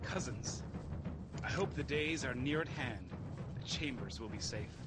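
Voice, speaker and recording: An actor playing a resolute noble army commander in a Shakespearean audio drama, formal and commanding, with faint noise. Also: male voice, mid-range voice, a little slow, over loud music, close to an everyday voice.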